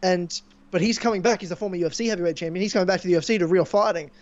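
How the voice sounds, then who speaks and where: A young man hosting a casual podcast, chatting and rambling excitedly with a sore throat from screaming. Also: sits high, very fast. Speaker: podcast host. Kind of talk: casual chat. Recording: dull lo-fi mic.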